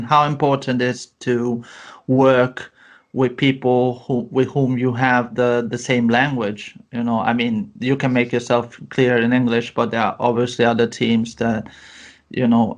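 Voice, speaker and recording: A male podcast host leads the interview with long questions that wander. He pads them with plenty of fillers and false starts, and his voice sits at an ordinary middle pitch. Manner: rambling questions with many fillers.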